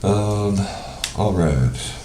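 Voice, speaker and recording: A man with a cold, sounding congested, casually walking viewers through software on a live screen share. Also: lowish voice, reverberant, background noise. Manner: casual, thinking aloud with pauses. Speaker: software trainer.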